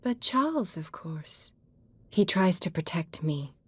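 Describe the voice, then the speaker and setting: A woman acting as a fragile, half-mad painter in a video game, breathy and husky, drifting dreamily and cryptically between halting phrases. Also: on the low side, sing-song, no room sound, exaggerated voice. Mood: resigned, fearful.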